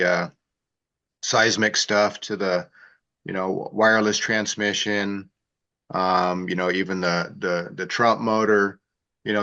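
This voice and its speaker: A man hosts a video call in a hesitant way, with many fillers between his phrases. His low voice stays flat and sounds bright. The audio is dull. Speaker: host. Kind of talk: casual interview talk.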